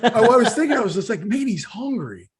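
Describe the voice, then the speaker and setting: A man's voice: extremely high pitch, sing-song, fairly quick, a little hiss or hum. A man hosting an interview, chatty and rambling with many fillers. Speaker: podcast host, interviewer. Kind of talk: casual interview.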